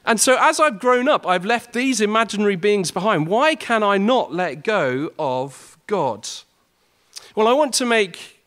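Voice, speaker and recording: A man's voice: high voice, lively intonation, a little slow, a bit bright. A young man, a student, giving a prepared talk to fellow students in an earnest style and building his points with rhetorical questions. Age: young adult. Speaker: student speaker.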